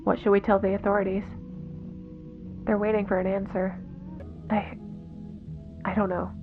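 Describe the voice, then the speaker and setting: A woman's voice: medium pitch, flat delivery, normal speed, narrow, tinny audio, over music, natural voice. A woman voicing an uneasy cult disciple in a horror web series, hesitant and questioning.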